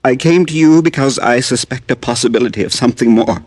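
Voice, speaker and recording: An actor playing a sickly, desperate farmer from Haiti in an old radio drama, halting, earnest and polite. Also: male voice, medium pitch, a little mellow, husky, no echo, close to an everyday voice. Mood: grave, earnest. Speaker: actor in a supporting role. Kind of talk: 1940s-50s radio drama acting. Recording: old radio recording, dry studio.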